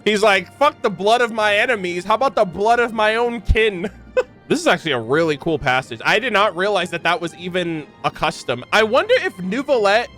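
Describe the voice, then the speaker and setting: A man with a high voice giving casual reaction commentary as a game streamer. He is expressive and slangy, quick to joke, with faint music in the background.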